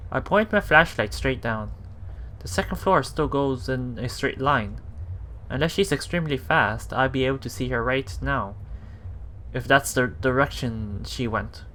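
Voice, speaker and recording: A man's voice: mid-range voice, pushed and bright, a little hiss or hum, poor audio. A man hosting a let's play, reading a visual novel aloud and adding casual reactions, sometimes repeating himself. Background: game music.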